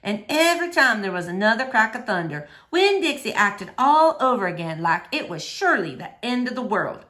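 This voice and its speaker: A woman reading a children's novel aloud in an expressive, animated storytelling manner. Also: on the high side, moderate pace. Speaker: storybook reader.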